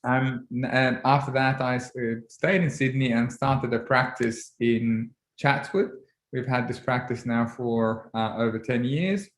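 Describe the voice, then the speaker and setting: A man in his forties, originally from Egypt, giving an orthodontics webinar lecture in a measured way with frequent ums and restarts. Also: mid-range voice. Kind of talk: relaxed presentation over slides. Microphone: computer mic on a video call. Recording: roomy video-call audio.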